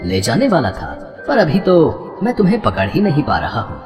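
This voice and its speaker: A man's voice: mid-range voice, wildly swooping pitch, moderate pace, over music. A man voicing a good-natured, guileless god and fond husband in a devotional story, with formal, playfully bemused delivery. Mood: scheming, sly. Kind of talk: animated devotional story dialogue.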